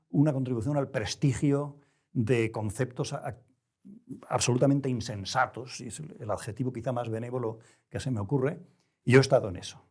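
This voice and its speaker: Interview guest: older male, European Spanish accent, dark relaxed timbre, deliberate, erudite, searching for the exact word. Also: medium pitch, breathy edge. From Spain. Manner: deliberate, halting, with erudite careful word choice. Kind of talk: reflective interview conversation.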